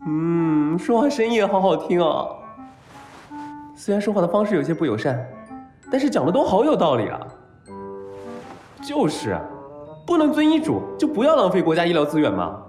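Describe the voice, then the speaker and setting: A man playing a flustered, lovestruck young patient in an audio drama, hesitant and stammering, given to melodramatic excitement. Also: sits high, moderate pace, long pauses. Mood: smitten, flustered. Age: young adult. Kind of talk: audio drama acting with inner monologue. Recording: roomy echo, music behind.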